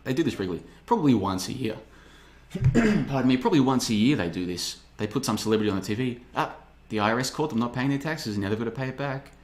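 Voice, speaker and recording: A man's voice: mid-range voice, big pitch swings, quick pace, darkish tone. A man in his thirties hosting a podcast, talking in a rambling, sarcastic way as he reads the live chat. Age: 30s. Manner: rambling, sarcastic, slips into mocking voices. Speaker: podcast host. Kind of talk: casual podcast monologue reading live chat.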